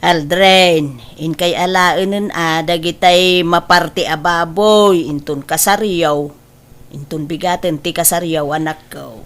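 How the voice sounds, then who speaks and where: A woman's voice: lowish voice, unhurried, soft background music, lightly acted. A woman playing a doting mother of a grown son, emphatic and exclamatory in an Ilocano comedy drama. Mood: businesslike. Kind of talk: Ilocano radio-style comedy drama acting.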